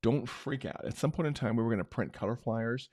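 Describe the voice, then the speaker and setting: A middle-aged man hosting a marketing show, talking unscripted in a loose, conversational way with a big booming voice. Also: fairly quick, darkish tone, dry recording, clean studio sound. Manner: unscripted, conversational, many restarts. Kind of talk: casual talk-show recap.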